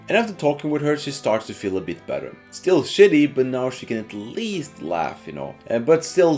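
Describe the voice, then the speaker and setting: Low-voiced man narrating over music. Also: darkish tone. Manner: informal, conversational storytelling. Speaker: video game reviewer. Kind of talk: narrated video essay retelling a game story with casual asides. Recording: music behind the voice.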